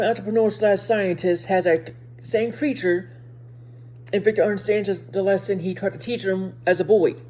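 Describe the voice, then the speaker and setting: A woman with a speech impediment giving a casual video review to viewers, speaking haltingly and often repeating and rephrasing her words. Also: medium pitch, mellow, poor audio.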